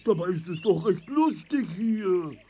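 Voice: medium pitch, expressive, unhurried, dark tone, natural voice. An actor playing an easygoing, pleasure-loving lazy companion in a children's audio play. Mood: easygoing, dreamy. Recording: faint noise with birdsong.

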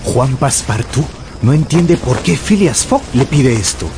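A man's voice: medium pitch, animated, measured pace, noisy background. A man narrating an adventure novel as dramatic scripted storytelling for radio. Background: music.

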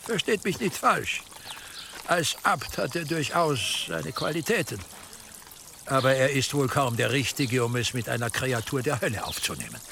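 An actor playing an imperious, slyly menacing church dignitary in a medieval radio drama, speaking deliberately and with condescending insinuation. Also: male voice, medium pitch, animated, gravelly, pushed and bright, slight background noise. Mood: smugly pleased. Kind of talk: German radio drama acting in a medieval setting.

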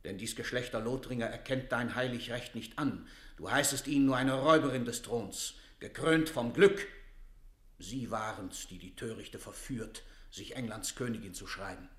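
A man's voice: medium pitch, normal speed, fairly bright tone, reverberant, lightly acted. An actor playing a stern, zealous lord advising a queen, formal and insistent, in a classical radio play. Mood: hard insistent.

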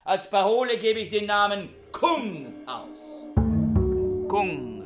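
Casting: fanatical bookish warlord, pompous radio-play declaimer, very slow delivery under loud music. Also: male voice, high voice, big pitch swings, full character voice. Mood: pompous, commanding. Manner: solemn, pompous, commanding, halting phrases. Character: fanatical bookish man proclaiming himself supreme warlord. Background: loud music with drums and guitar.

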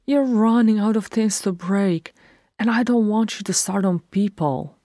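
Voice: medium pitch, unhurried, dark tone, no room sound, clean studio sound, voice pushed up, lightly acted. A narrator voicing an anxious, exasperated dwarf in an audiobook, hissing and moaning in a pleading way. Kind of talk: fan fiction audiobook character acting.

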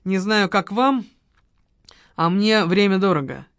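Woman voicing a brisk ironic detective man. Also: mid-range voice, expressive, dry recording, studio quality. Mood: impatient.

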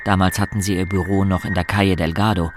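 A man's voice: low-pitched, level tone, fast talker, music in the background, lightly acted. A man narrating a suspense radio play as a composed storyteller. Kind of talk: scripted radio drama narration.

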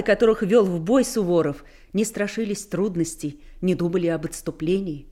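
A woman narrating a historical script solemnly, with emphatic, deliberate, declamatory phrasing. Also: mid-range voice, darkish tone.

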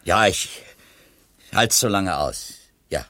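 An actor playing a reserved, uneasy publishing editor from the city in a naturalistic radio drama, hesitant and pensive. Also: male voice, low voice, expressive, takes it slowly, pushed and bright, no room sound, studio quality, heavily acted.

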